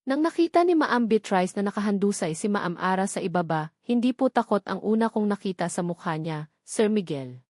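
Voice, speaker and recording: A woman's voice: medium pitch, expressive, brisk, darkish tone, no room sound, clean studio sound, close to an everyday voice. Woman voicing a tearful old Filipino nanny. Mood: weeping. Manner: sobbing, trembling. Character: frightened, tearful elderly nanny, humble and deferential.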